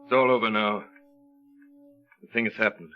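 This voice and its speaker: Man acting a bitter haunted husband, low voice, broody n dramatic, 1930s radio play, muffled old audio. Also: fairly even intonation, moderate pace, over music, close to an everyday voice. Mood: grim resignation. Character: haunted, bitter, despairing upper-class husband.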